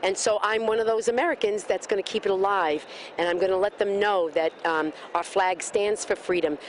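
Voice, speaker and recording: A woman's voice: mid-range voice, brisk, slight background noise. A woman speaking fervently at an outdoor street rally, answering an interviewer with speech-like rhetorical questions. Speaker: rally protester being interviewed.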